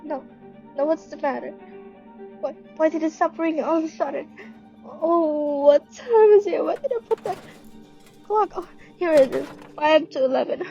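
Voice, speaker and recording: A woman's voice: sits high, normal speed, long pauses, mellow, highs cut off. A young woman playing a nervous, demanding invalid woman with plaintive, imperious outbursts in an amateur radio play. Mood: anxious. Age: young adult. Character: frantic, demanding invalid woman alone in bed. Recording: dramatic music laid under the voice.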